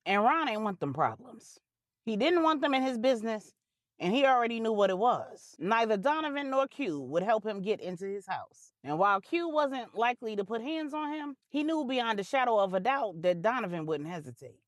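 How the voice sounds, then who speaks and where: A woman's voice: fairly high pitch, very animated, moderate pace, dry recording, studio quality. A woman narrating a scripted drama story for adults in a dramatic, colloquial storytelling manner with slangy touches.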